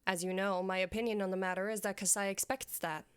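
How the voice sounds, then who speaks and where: A woman's voice: mid-range voice, fairly even intonation, fast, clean tone, dry recording, close to an everyday voice. A woman playing a dutiful military commander in a fantasy audio story, speaking formally and directly to her king. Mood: businesslike, questioning. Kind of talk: audio fiction acting.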